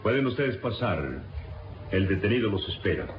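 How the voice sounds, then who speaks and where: Animated prison guard, echoey. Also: male voice, on the low side, moderate pace, soft background music. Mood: official. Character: proud, dutiful male prison guard. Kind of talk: old-time radio serial acting. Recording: muffled, echoey lo-fi radio recording.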